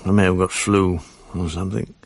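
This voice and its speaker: An actor playing a weary, hard-drinking, self-pitying English TV producer in a radio drama, wry, rueful and rambling. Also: male voice, low-pitched, flat delivery, a little fast, dry recording. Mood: tipsy, maudlin. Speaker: lead actor and narrator. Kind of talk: confessional radio drama monologue.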